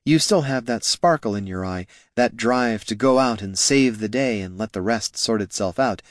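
A man voicing a weary veteran female elf ranger who gives calm, wry advice in a storybook reading. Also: lowish voice, moderate pace, dry recording, studio quality, natural voice.

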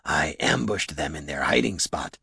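A man voicing a big, gentle troll warrior who speaks in a calm, formal, measured way. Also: a bass voice, vocal fry, husky, pitched below the performer's own voice, heavily acted. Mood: calm, modest.

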